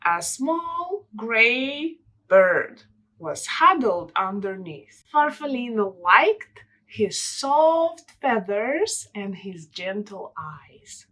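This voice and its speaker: Woman, children's storyteller, bright voice, slow deliberate pace, expressive short phrases. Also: fairly high pitch, low-quality recording.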